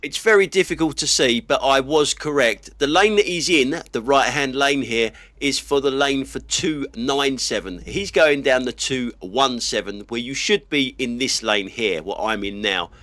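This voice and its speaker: A man's voice: medium pitch, normal speed, hardly any pauses, bright, forceful tone. A middle-aged British man with a stuffy nose from a cold, giving blunt, grumbling commentary on other drivers from inside his car. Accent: British English. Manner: blunt and grumbling, swears casually. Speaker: dash cam vlogger. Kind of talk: casual driving commentary.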